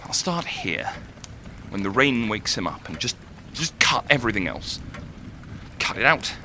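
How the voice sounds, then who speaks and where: A man's voice: mid-range voice, sing-song, measured pace, sharp tone, slight background noise, full character voice. A man acting an anguished, self-doubting young writer in an audio drama, lovesick, volatile and intensely emotional. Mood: bitter self-loathing. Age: young adult.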